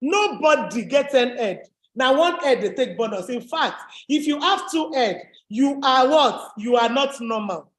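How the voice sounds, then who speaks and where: Deep-voiced woman coaching a webinar. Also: expressive, unhurried. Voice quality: deep, low voice. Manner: emphatic, repetitive, rallying. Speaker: webinar host and rhinestone business coach. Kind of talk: motivational webinar talk. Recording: echoey room.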